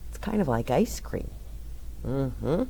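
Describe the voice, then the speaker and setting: Slow, lively-voiced woman reading a storybook. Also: extremely low pitch, vocal fry, slightly rough. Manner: gentle and explanatory, stopping to ask children questions. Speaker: children's storyteller. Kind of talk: reading a picture book aloud to children.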